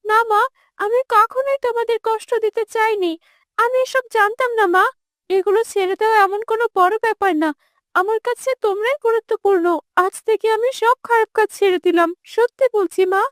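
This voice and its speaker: Woman as a very high-pitched headstrong daughter. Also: steady tone, fairly quick, no room sound, clean studio sound. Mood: repentant. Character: young daughter, headstrong but devoted to parents. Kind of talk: animated moral-drama dubbing.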